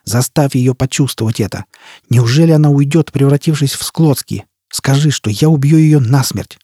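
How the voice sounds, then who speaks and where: A man's voice: mid-range voice, normal speed, mellow, slightly rough, dry recording, clean studio sound, lightly acted. A man voicing an enraged, desperate, vengeful man in an audiobook, with a harsh, commanding, embittered manner. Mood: bitter fury. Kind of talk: audiobook character acting.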